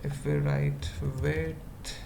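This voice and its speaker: A tutorial presenter thinking aloud in halting, murmured fragments during an informal coding screencast. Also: medium pitch, big pitch swings, vocal fry, noisy background. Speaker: web development tutorial presenter.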